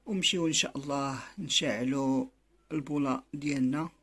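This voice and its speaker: A man's voice: medium pitch, steady tone, a bit bright. A man with a Moroccan Arabic accent, giving casual how-to commentary to viewers in a bird-keeping video. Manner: quick, repetitive, addresses viewers as brothers.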